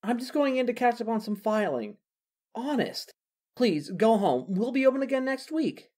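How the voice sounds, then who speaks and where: A man's voice: extremely high pitch, very animated, normal speed, darkish tone. A man voicing a harried, flustered young office assistant. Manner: flustered, exasperated.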